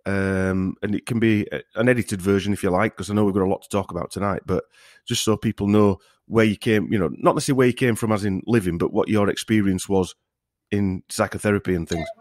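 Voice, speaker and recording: A man's voice: lowish voice, fairly even intonation, fast talker, no echo, studio quality. A man hosting a podcast in a casual discussion style. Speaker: podcast host.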